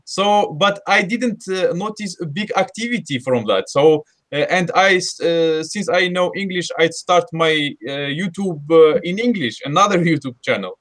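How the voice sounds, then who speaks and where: A man's voice: sits high, animated, moderate pace, hardly any pauses, a bit bright. A man with a Russian accent, speaking English as a second language in a casual group chat, hesitant with many fillers and restarts. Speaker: host.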